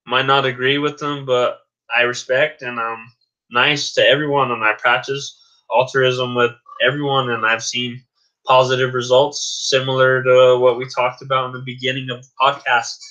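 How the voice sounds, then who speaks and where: A man's voice: medium pitch, flat delivery, moderate pace, bright, forceful tone, lo-fi sound. A man guest-hosting a casual podcast, earnest and insistent, repeating his points. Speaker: guest host.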